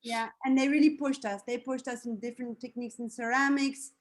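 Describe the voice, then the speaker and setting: A woman's voice: a little high, fairly even intonation, fairly quick. A young woman from Switzerland, raised in Zimbabwe, talking casually as an interview guest, with lots of fillers and restarts. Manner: chatty, many fillers and restarts, light laughs.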